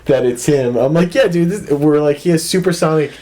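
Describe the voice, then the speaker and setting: A man's voice: on the high side, a little fast, dark tone, a little hiss or hum. A man hosting a casual comedy podcast, telling profane, jokey stories and acting out the dialogue, on a recording with clicks. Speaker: podcast host.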